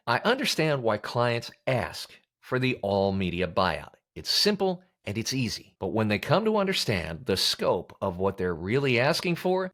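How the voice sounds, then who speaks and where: American male instructor, lower register, very varied intonation, emphatic scripted explainer delivery. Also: a bit bright. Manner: emphatic, punchy delivery with pointed pauses. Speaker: voice actor teaching about auditions. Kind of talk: scripted instructional video narration.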